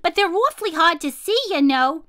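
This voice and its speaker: Woman doing a high, frantic little monkey. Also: lively intonation, moderate pace, pushed and bright, full character voice. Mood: flustered. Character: little female monkeys, eager, frantic and fussing. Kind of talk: storybook read-aloud character voice.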